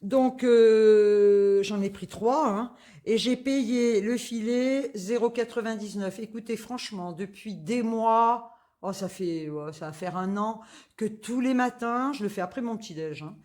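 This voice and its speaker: A woman's voice: mid-range voice, unhurried, warm tone. A woman, chatting casually through a grocery haul, full of fillers and asides. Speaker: vlogger.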